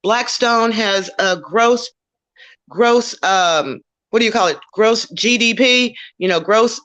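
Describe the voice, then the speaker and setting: A woman on an online panel, talking loudly and emphatically and repeating her key words. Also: a little high, slow pace, lo-fi sound. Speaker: panelist on legal topics.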